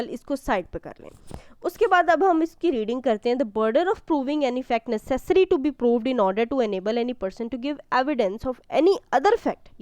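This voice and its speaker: High-voiced woman teaching law. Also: clean tone, no echo, clean studio sound. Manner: didactic, checks understanding with rhetorical questions. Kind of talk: explanatory lecture, reading statutes and explaining them.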